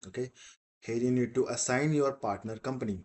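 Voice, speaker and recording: A man teaching in a software demo, explaining step by step in a halting, repetitive way. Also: mid-range voice. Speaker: software instructor.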